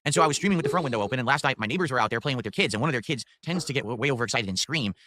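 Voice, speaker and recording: Fast-talking man with a bright, dry voice. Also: mid-range voice, animated. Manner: rambling explanation with many fillers. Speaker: streamer being reacted to. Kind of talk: casual stream monologue. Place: home room with window open and fans running. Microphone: studio desk microphone.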